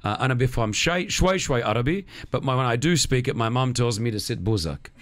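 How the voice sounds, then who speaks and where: A man's voice: medium pitch, animated, racing. A man with an Australian accent, hosting a casual radio chat from a studio and laughing easily. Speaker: radio host.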